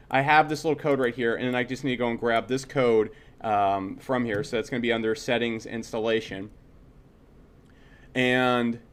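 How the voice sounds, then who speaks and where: A man teaching a casual screen-share tutorial, speaking bluntly and conversationally, repeating himself and checking in with short tags. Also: mid-range voice. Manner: blunt, opinionated, swears freely. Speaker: software instructor.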